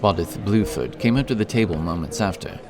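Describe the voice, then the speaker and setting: A man's voice: sits low, animated, fairly quick, crowd noise. A man narrating a scripted fantasy story. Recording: narration over prominent music. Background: music.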